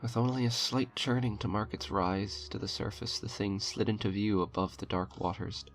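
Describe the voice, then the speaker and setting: A man's voice: on the low side, fairly even intonation, normal speed. A man narrating an eerie short story in a dramatic audiobook style, as a storyteller reading aloud. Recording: music under the voice. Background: eerie background music.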